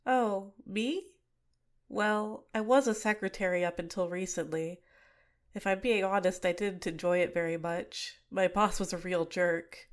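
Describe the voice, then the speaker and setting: Soft-spoken young villainess, manipulative, close-mic ASMR; a woman voices her. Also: on the low side, measured pace, natural voice. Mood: shy, apologetic. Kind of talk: ASMR roleplay.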